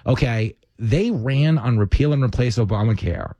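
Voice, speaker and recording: A man's voice: medium pitch, normal speed, no room sound, lo-fi sound. A man hosting a podcast, chatting casually and animatedly about politics. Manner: animated, laughing, acts out quoted voices. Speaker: podcast host.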